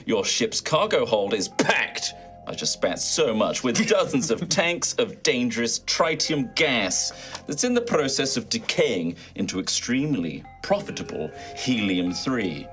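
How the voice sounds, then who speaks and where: A man with a mid-pitched, lively voice runs a tabletop game as its host. He is playful and jokey, moving between loose chat and story narration. Faint music plays underneath. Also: unhurried.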